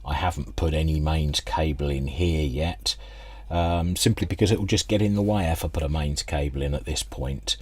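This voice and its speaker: A man's voice: very deep voice, moderate pace, slightly rough, dry recording. A British man explaining technical work informally at a workbench, hesitant and unscripted as he thinks aloud. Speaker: radio restoration hobbyist presenting a workbench video. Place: indoor workbench, close dry sound.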